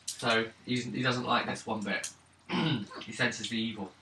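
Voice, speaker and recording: A man's voice: medium pitch, a little slow. Man running a game, echoey room. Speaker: game master. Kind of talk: casual tabletop game narration and rulings. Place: indoors at a gaming table. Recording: echoey room, distant mic, clear noise.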